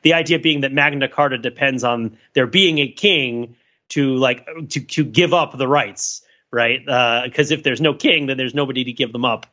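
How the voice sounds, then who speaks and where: A man's voice: medium pitch, moderate pace, bright, forceful tone, lo-fi sound. An American man co-hosting a podcast, riffing in a rambling, casual, joking way over a call. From United States. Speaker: podcast co-host. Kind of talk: casual, joking group discussion.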